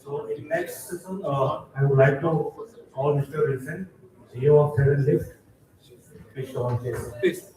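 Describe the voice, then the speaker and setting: A man's voice: fairly high pitch, normal speed, long pauses. A man acting as emcee, making brief, courteous stage introductions. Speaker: event emcee. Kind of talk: stage announcement. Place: large event hall with audience. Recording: echoey hall from far off with crowd.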